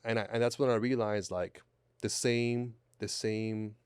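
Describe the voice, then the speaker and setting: A man's voice: lowish voice, flat delivery, unhurried, clean tone, no echo. A man in his late twenties speaking as a podcast guest, reflective and repeating words as he thinks, on a studio mic. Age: 20s. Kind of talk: podcast conversation. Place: small studio. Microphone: studio mic on boom arm, headphones.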